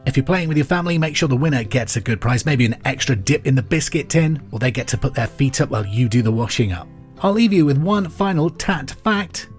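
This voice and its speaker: A middle-aged man hosting a pub quiz podcast, reading out trivia questions and answers in a clear, deliberate way. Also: mid-range voice, animated. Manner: clear deliberate delivery, repeats each question. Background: music bed.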